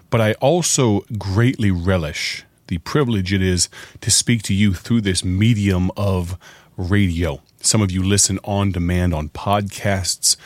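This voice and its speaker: Male radio evangelist, very low voice, a bit hoarse, dry close sound. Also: no echo. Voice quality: raspy. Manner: earnest, grateful, conversational. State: hoarse from preaching at camp. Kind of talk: warm radio sermon introduction.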